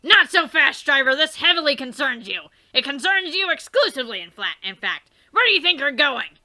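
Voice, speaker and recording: A woman voicing a furious shouting male troll youth who rants in an overwrought way. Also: high voice, very animated, a little fast, exaggerated voice. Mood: scornful. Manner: ranting, bellowing, overwrought.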